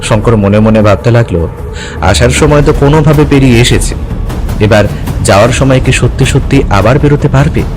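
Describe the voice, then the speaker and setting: A man's voice: medium pitch, a little mellow. A man narrating a scripted adventure story dramatically. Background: music.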